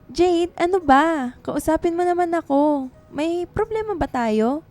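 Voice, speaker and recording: An actress playing a tender, anxious young woman writer in a radio drama, soft and earnest in manner. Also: very high voice, animated, unhurried, soft background music. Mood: worried, pleading.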